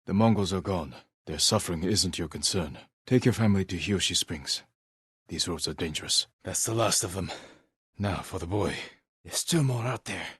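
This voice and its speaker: An actor playing an honourable, stoic samurai warrior in a video game, speaking in a measured, solemn way with short, clipped sentences. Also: male voice, low voice, fairly bright tone, slightly rough, no room sound, clean studio sound, heavily acted. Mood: hushed, grave. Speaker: video game lead character. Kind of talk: dramatic video game voice acting.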